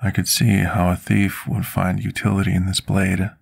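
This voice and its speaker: A man playing a scarred, remorseful potion master in an intimate ASMR roleplay, speaking formally and deliberately like someone confessing his past. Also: deep voice, level tone, vocal fry, slightly rough, dry recording, studio quality, heavily acted. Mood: sorrowful, confessional. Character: scarred male potion master, devoted, remorseful, soft-spoken servant.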